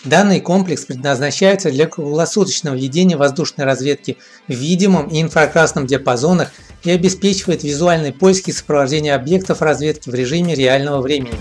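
A man reading a scripted voice-over report, steady and measured, going through long technical lists. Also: fairly high pitch. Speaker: narrator of expo video report. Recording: voice-over with faint background music.

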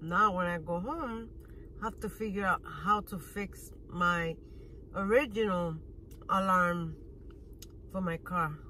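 Woman, 30s, chatty car vlogger; unhurried, bright tone, phone held at arm's length, faint outdoor hum. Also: lowish voice, poor audio. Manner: chatty, eating while talking, smacking sounds. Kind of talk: casual food review vlog. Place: parked car. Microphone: phone camera at arm's length.

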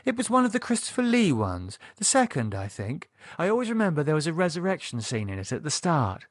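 A man's voice: a little high, wildly swooping pitch, brisk, no echo, studio quality, pitched above the performer's own voice. A man putting on a haughty, sensual British woman. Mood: enthusiastic. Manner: arch, sardonic, snappish. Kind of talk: audiobook character dialogue.

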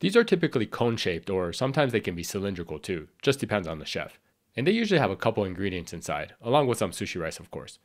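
Man, lower voice, slightly raspy, lively intonation, clear explanatory host delivery. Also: fast. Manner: clear, explanatory, friendly instruction. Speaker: sushi chef and channel host. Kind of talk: scripted cooking tutorial.

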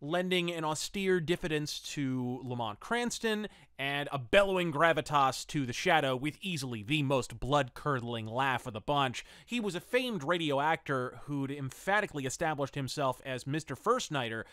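A man presents an enthusiastic review in a fairly high voice, recorded dry and close. His language is ornate and wordy, broken up by blunt, conversational asides. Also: lively intonation, normal speed, hardly any pauses, fairly bright tone, smooth, no room sound. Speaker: podcast host and reviewer. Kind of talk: enthusiastic semi-scripted radio drama review.

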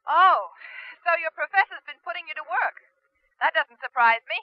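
An actress playing a sharp-tongued, sarcastic, suspicious wife in a radio drama, heard over a phone call. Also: female voice, very high-pitched, lively intonation, normal speed, no echo. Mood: pointed, concerned. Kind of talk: 1970s radio drama acting. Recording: dull, muffled phone call.

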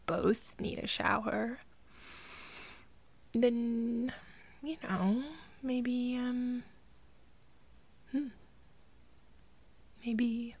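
A woman's voice: on the high side, gravelly, no room sound, heavily acted. A woman playing an affectionate, teasing girlfriend cheering on her man in a playful, encouraging ASMR roleplay. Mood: playful, coy. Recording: music behind the voice.

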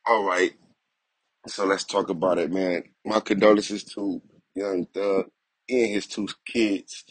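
A young man talks through the news in a casual, streetwise vlog style, tagging "you feel me" onto nearly everything he says. His voice sits a little low and comes across husky. The recording is dry and close, with no room sound around it. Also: steady tone, slow, no echo, poor audio. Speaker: news commentary host.

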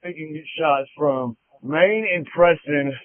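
A man's voice: fairly high pitch, slow pace, dry recording. A male police officer giving urgent, terse, repeated commands over a police radio. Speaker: police officer at an emergency scene. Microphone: handheld police radio. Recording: tinny band-limited police radio.

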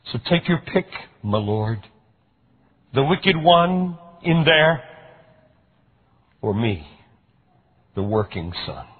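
A man's voice: fairly low pitch, expressive, measured pace, slightly rough. A man voicing a resentful, dutiful elder son who speaks with bitter indignation, in a raised voice. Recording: tinny phone-like recording.

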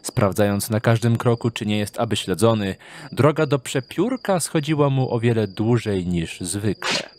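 Male narrator of a dark fantasy audio drama. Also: lowish voice, expressive, moderate pace.

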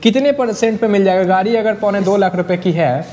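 A man's voice: sits high, fairly quick, darkish tone. A young man hosting a casual vlog walkthrough in an indoor showroom, chatty and repeating the dealer's answers for his viewers, on a lapel mic. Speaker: vlogger host. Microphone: clip-on lapel mic.

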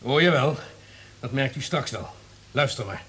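An actor in a Dutch radio play playing a smug, calculating, cynical radio network executive. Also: male voice, medium pitch, lively intonation, normal speed, background noise, close to an everyday voice. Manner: self-satisfied, calculating.

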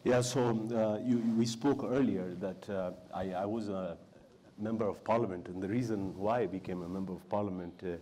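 A man's voice: lowish voice, fairly even intonation, normal speed, dark tone, slight background noise. A man in his forties from Tanzania, speaking earnestly as a panelist on a conference stage, often repeating words as he starts a point. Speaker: panelist, businessman. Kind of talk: panel discussion. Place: indoor conference hall stage. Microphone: lapel mic.

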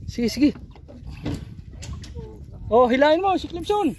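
A man with a Filipino accent giving lively, excitable commentary for his fishing vlog outdoors on a boat, laughing often. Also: extremely high pitch, measured pace, slight background noise. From Philippines. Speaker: fishing vlogger. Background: loud music.

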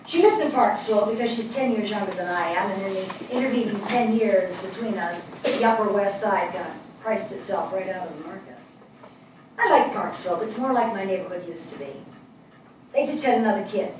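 A woman's voice: mid-range voice, a little mellow, lightly acted. Older lady acting a thoughtful wry journalist woman, stage reading, mic far off, bit of noise. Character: reflective, wry middle-aged New York woman journalist. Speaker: stage actress in a dramatic reading. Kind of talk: theatrical dramatic monologue read on stage. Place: indoor small theatre stage. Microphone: far camera. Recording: echoey theatre, distant mic, faint noise.

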